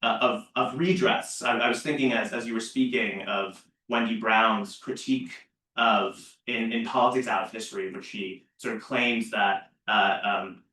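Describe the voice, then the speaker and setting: A man's voice: fairly low pitch, steady tone, normal speed, bright, forceful tone. A man in a seminar audience asking a long academic question, hesitant, with many fillers and restarts. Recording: roomy seminar room, far from mic.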